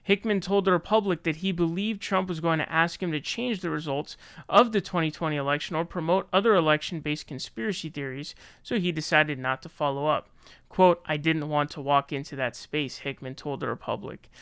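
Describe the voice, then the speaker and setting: A man's voice: a little high, brisk, a bit bright, clean tone, dry recording, clean studio sound. An American man giving casual, opinionated news commentary in colloquial language, sometimes reading aloud.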